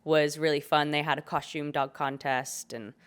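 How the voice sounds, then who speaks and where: A young woman with a British accent chats through her podcast, her voice worn hoarse by a cold. She speaks low and keeps things relaxed and jokey as she goes. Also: moderate pace. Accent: British English. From Britain. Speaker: podcast host. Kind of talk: casual chat.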